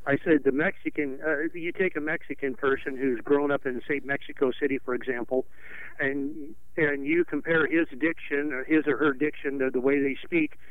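A man's voice: fairly high pitch, steady tone, normal speed, no echo. A man calling in to a radio show, rambling and indignant, with many restarts. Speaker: radio caller. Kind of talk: call-in radio complaint. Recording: phone line.

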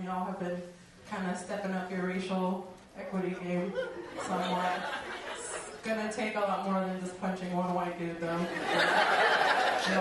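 A woman's voice: on the low side, very noisy. A woman performing a stand-up set, wry and joking, laughing through her punchlines. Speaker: stand-up comedian. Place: comedy venue with a live audience. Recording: echoey club recording from far off, loud crowd.

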